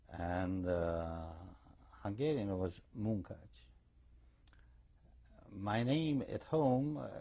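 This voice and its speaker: Older male, Eastern European accent, slow and husky, anecdotal oral-history witness. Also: low voice, dark tone. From eastern Czechoslovakia. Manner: deliberate, anecdotal storytelling. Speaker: interviewee giving survivor testimony. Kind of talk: recorded oral history testimony.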